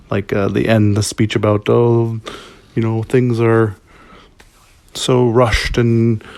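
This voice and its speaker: A man's voice: mid-range voice, vocal fry, darkish tone, no room sound. A man giving a casual spoken review as listener feedback, rambling and hesitant as he thinks aloud.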